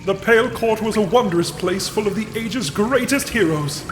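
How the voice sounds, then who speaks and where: A man's voice: high voice, normal speed, lightly acted. A man voicing a courteous, nostalgic knight creature from a video game, speaking in a lofty, formal, wistful way. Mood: wistful, admiring. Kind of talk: video game character voice acting. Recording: echoing cave reverb, dripping water noise.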